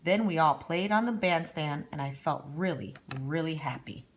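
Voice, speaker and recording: A woman's voice: deep voice, normal speed, a little hiss or hum, lightly acted. A cheerful young girl from a storybook played by a woman reader. She is frank and friendly, chatting her way through her tale. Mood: warm, chatty. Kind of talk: storybook read-aloud.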